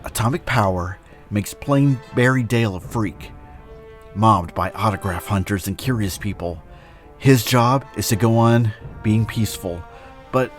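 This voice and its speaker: A man's voice: medium pitch, natural voice. Man acting a noble, declamatory superhero, music behind. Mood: confident, stern. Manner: declamatory, earnest. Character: noble, confident adult male atomic superhero. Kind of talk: old-time comic-book radio drama acting.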